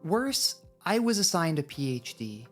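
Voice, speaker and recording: A woman's voice: low-pitched, sing-song, a little slow, soft background music. A woman narrating a scripted video essay in a wry, drily humorous manner. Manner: wry, dry humour.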